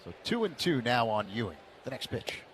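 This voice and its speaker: A man doing radio play-by-play of a baseball game. His voice sits at a middling pitch, he calls each pitch steadily, and his voice lifts on big plays. There is clear noise behind him. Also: sing-song, moderate pace, a bit bright. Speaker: play-by-play announcer.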